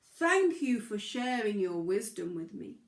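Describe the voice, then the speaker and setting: Storybook whale, played by a woman, enormous and gentle, booming out long slow words in an echoey room. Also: sing-song. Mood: slow, grateful. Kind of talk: children's storybook character voice.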